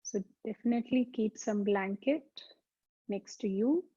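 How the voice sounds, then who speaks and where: A woman teaching yoga as a slow guided meditation, speaking calmly and deliberately with soothing pauses. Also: medium pitch, breathy edge. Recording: dull, muffled lo-fi sound.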